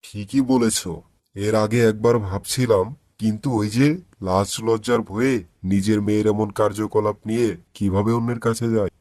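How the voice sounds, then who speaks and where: A man's voice: lowish voice, steady tone, moderate pace, no room sound, natural voice. Man acting a patient, worried father, dry sound. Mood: troubled. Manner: gentle pleading, admonishing. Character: patient, worried, pious middle-aged father. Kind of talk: cartoon dialogue acting.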